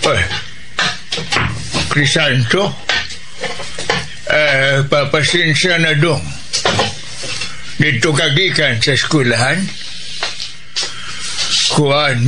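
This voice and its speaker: An actor playing a gentle old widower who lives at a dumpsite, speaking hesitantly with a Filipino Bisaya accent in a radio drama. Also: male voice, fairly high pitch, low-quality recording. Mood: wistful, halting. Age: older. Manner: halting, hesitant delivery. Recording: music behind the voice.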